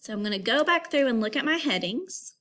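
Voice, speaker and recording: Lively, higher-pitched woman teaching children. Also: a little fast. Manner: instructive, clearly phrased, addressing young pupils. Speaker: elementary school teacher. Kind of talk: read-aloud reading lesson for children.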